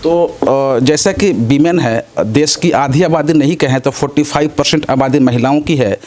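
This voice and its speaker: A man's voice: on the high side, moderate pace, a little mellow. A man working as an interviewer, putting wordy, roundabout questions to a guest.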